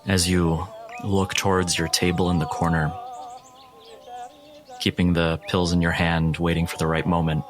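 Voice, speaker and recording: A man narrating scenes as a tabletop game master in a deliberate, ominous manner. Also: low-pitched, flat delivery, slight background noise.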